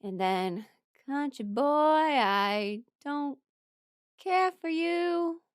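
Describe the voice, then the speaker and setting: A young adult woman rambling casually through her opinions as a video creator, expressive and emphatic, blunt but apologetic. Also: sits high, drawn-out words, dry recording, clean studio sound. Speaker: video creator ranking characters.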